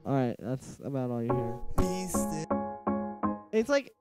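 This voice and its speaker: A man's voice: mid-range voice, sing-song, slow pace. A young man with a nasal voice, chatting casually and rambling on a live stream while he works on a music track. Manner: rambling, heavy use of "like". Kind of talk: casual livestream chat while producing. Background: faint music from the track being produced.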